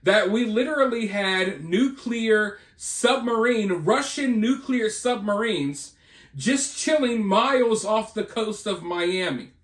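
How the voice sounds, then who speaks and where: A man's voice: high voice, lively intonation, slow pace, a bit bright. An American man giving informal, speculative news commentary in a conversational, rhetorical way, often checking in with his listeners. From United States. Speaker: online video commentator and channel host. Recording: roomy, echoing voice recording.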